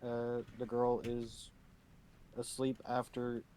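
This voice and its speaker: Male tabletop streamer, mid-pitched, blunt and foul-mouthed, casual chat, noisy room with keyboard clatter. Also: monotone, slow pace, dark tone. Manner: sweary, blunt, joking. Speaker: streamer and player in a tabletop game.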